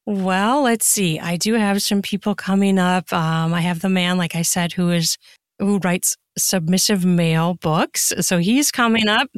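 A woman's voice: on the low side, measured pace, clean tone, no echo. Chatty, emphatic woman, dry close sound. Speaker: podcast guest, erotica author and podcaster. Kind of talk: casual interview conversation.

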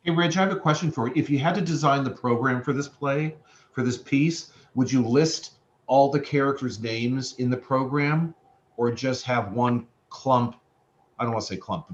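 A man of middle pitch asks a polite, curious question. The line is dull and lo-fi, with faint noise under his voice. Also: normal speed.

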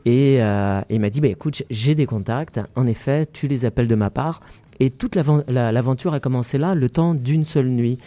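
A man's voice: mid-range voice, moderate pace, warm tone, no room sound. A middle-aged man from France, a festival founder being interviewed on radio, talking with many hesitations and restarts. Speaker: festival founder and director, guest. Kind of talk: radio interview, casual explanation.

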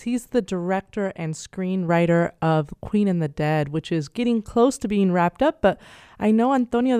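Woman, radio host, measured, courteous, low mellow voice, dry sound. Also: clear, resonant tone, no echo. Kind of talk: radio interview hosting.

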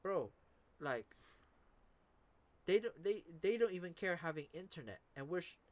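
A man's voice: high voice, lively intonation, measured pace, a little mellow. A young man from the Philippines in casual chat, slangy, swearing often and repeating words.

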